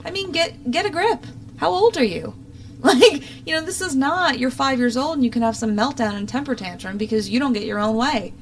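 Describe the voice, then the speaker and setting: A woman's voice: high voice, lively intonation, a little fast, a little hiss or hum. A young adult woman giving opinionated, casual political commentary as a talk show host, in an exasperated, sarcastic manner.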